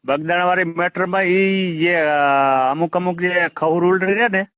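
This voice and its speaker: A man speaks in a high voice on a muffled phone call. He argues haltingly, often saying the same phrase over again, and the sound is quite dry. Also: fairly bright tone, no room sound. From Gujarat, India. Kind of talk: argumentative phone conversation.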